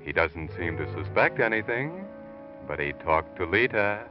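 Deep, ominous radio thriller narrator. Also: male voice, big pitch swings. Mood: ominous, taunting. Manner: knowing, goading, deliberate. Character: ominous, taunting narrator addressing the guilty man. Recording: dull vintage radio, music underneath.

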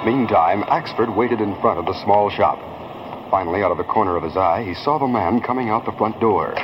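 A man's voice: fairly low pitch, fairly quick, very noisy. A man narrating a scripted radio drama in 1940s style. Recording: muffled old radio recording with music.

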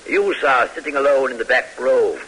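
An actor playing a frail, fussy older military professor with a hesitant, pompous way of lecturing in an old radio drama. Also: male voice, mid-range voice, fairly even intonation, normal speed, fairly bright tone, husky, rough recording. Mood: pompous, dry. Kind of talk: 1940s-50s radio drama acting. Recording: hissy vintage radio recording.

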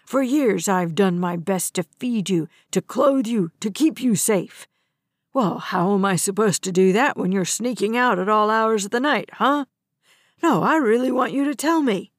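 A woman's voice: lowish voice, little pitch movement, takes it slowly, hardly any pauses, darkish tone, dry recording, studio quality, pitched below the performer's own voice. A woman reading aloud and voicing a stern, angry middle-aged father who speaks with controlled menace. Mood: angry lecture.

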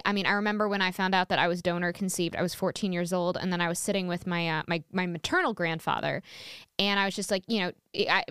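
A woman hosting a podcast interview, talking in an earnest, halting way with many restarts. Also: lowish voice, fairly bright tone, smooth, no echo, studio quality. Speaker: podcast host. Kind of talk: conversational interview.

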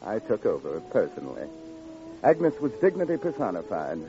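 A man's voice: fairly low pitch, wildly swooping pitch, moderate pace, music in the background. An actor playing a suave, flippant ladies' man with a pompous, florid, mocking manner in an old radio drama. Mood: smug, mocking. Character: suave, flippant gigolo running a lonely hearts club. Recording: dull old radio recording.

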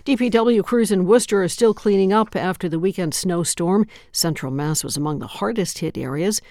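A woman hosting a local radio newscast, reading stories in a steady, measured way. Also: fairly low pitch, no room sound, studio quality. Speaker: local news host. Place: radio studio.

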